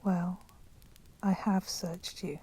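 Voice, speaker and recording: A woman playing a polite but menacing vampire in a whispered ASMR roleplay, speaking softly and formally. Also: on the low side, fairly even intonation, heavily acted. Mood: coolly polite. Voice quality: breathy, soft, often whispering. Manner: slow, deliberate, formally courteous. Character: polite, menacing female vampire, proud and merciful.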